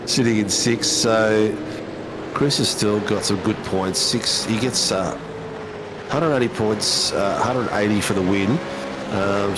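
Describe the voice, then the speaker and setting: Chatty Australian man, low voice. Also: very noisy. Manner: chatty, matey, many ums and uhs. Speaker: race commentator. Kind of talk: casual sim racing commentary.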